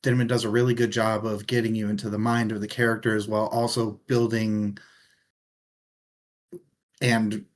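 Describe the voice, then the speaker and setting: A man's voice: on the low side, little pitch movement, normal speed, long pauses. A man co-hosting a casual book discussion in an irreverent, casually profane way. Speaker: podcast co-host.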